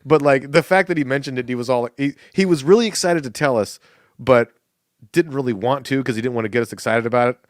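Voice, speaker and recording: A man co-hosting a podcast in casual chat, telling animated stories, acting out quotes and laughing often. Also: medium pitch, fast talker, no room sound, clean studio sound. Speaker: podcast co-host.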